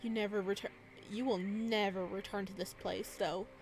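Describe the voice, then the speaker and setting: A woman's voice: mid-range voice, steady tone, normal speed. A woman hosting a gaming video, reading visual novel narration aloud. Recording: some background noise under voice.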